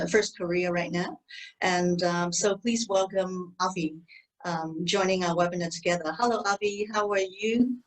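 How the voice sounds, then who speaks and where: A woman's voice: fairly low pitch, low-quality recording. A woman hosting a webinar over a video call, posing questions in a chatty way with many fillers. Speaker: webinar host. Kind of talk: moderating questions.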